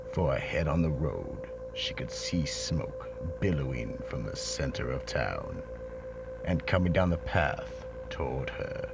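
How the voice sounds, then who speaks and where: A man acting as a slow, ominous narrator in a dramatic horror radio play. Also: extremely low pitch, gravelly, exaggerated voice. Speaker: narrator and announcer.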